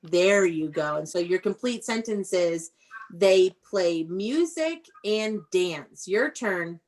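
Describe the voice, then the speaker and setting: A woman's voice: fairly low pitch, lively intonation, slow pace, darkish tone, low-quality recording. A woman teaching young children in an online read-aloud class, prompting them with questions and encouragement in an instructive way.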